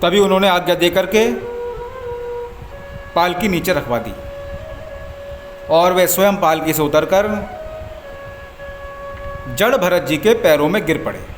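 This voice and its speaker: A man narrating a scripted devotional story in solemn, deliberate, formal diction. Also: high voice, animated. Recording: echoey voice over music.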